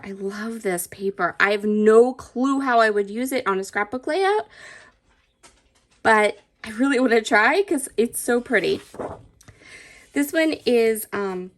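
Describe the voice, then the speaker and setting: A woman with a gravelly edge and a stuffy, allergy-worn voice chats eagerly at her craft table. She gushes over each paper in a casual, enthusiastic unboxing. Her pitch sits in an ordinary middle range. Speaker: scrapbooking channel host.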